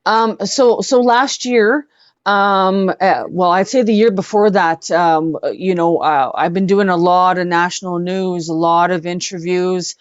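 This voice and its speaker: A Canadian woman with a fairly low voice gives conversational interview answers. She speaks haltingly and earnestly, pausing to think. The sound is dry and a bit dull, like lo-fi audio. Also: clean tone, dry recording. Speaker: guest, singer-songwriter and speaker.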